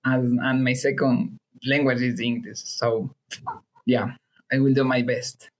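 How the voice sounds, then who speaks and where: A man from Ecuador, a native Spanish speaker with a Spanish accent, speaking English haltingly as a podcast guest. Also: medium pitch, little pitch movement, rough recording. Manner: halting, restarts often, apologetic.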